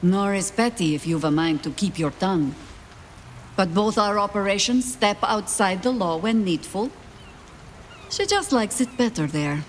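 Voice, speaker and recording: A seasoned older warrior woman, played by an actress, speaks in a low-set, measured way with dry, sardonic wit. She is pragmatic and world-weary, with faint noise behind her fantasy game dialogue. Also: animated, natural voice. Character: wry, world-weary old female warrior, pragmatic leader.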